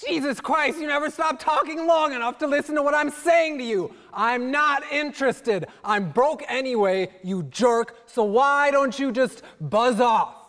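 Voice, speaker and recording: A man plays an irritable, down-on-his-luck grouch in a stage comedy. His voice is pitched extremely high as he protests in exasperation. The hall gives it a long echo. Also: measured pace, few pauses, heavily acted. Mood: exasperated. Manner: exasperated, loud protesting, sighing. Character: broke, irritable man pestered by a salesman. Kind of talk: comic stage play acting. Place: theater stage at a play festival.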